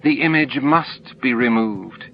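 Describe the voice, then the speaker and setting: A man voicing cryptic alien entities in an audiobook, solemn and insistent, with deliberate, stilted phrasing and pauses between words. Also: mid-range voice, lively intonation, muffled recording, slight background noise, pitched above the performer's own voice. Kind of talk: audiobook character dialogue.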